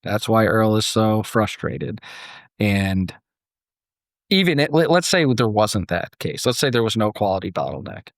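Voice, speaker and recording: A man hosting a podcast, moving between storytelling and casual discussion, acting out imagined quotes in an animated way. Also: fairly low pitch, unhurried, dry recording, clean studio sound. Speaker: podcast host.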